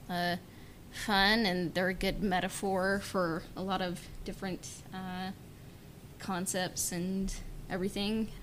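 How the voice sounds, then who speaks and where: A woman's voice: mid-range voice, pushed and bright, a little hiss or hum. A woman hosting a podcast in a casual, chatty style. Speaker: podcast host. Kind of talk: casual chat.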